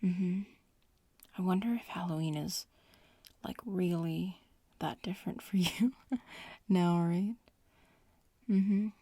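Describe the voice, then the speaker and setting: A woman voicing a young witch-in-training in an ASMR roleplay, murmuring and whispering with a creaky, breathy voice and a nonchalant drawl. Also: lowish voice, slow pace, pauses often, mellow, dry recording, exaggerated voice. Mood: teasing, casual. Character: casual young witch-in-training, bratty schoolmate.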